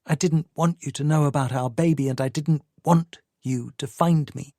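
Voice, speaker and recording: A man's voice: a little high, fairly even intonation, normal speed, dark tone, dry recording, pitched above the performer's own voice, natural voice. A man voicing a cold, evasive woman in a dry audiobook reading, her lines cool and dismissive. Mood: cool, cutting.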